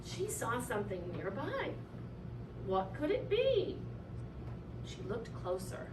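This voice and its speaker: A woman reading a children's story aloud in an expressive, animated way, drawing out key words for effect. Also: fairly high pitch, slow pace. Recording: roomy, distant mic, clearly noisy.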